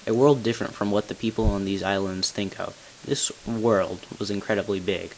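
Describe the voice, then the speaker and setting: A man playing a tall, solemn bell ringer who reveals long-kept secrets in grave, deliberate narration for a game. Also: on the low side, fairly even intonation, lightly acted. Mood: solemn, explanatory. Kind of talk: scripted character narration, amateur game voice-over. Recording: hissy, noisy amateur home recording.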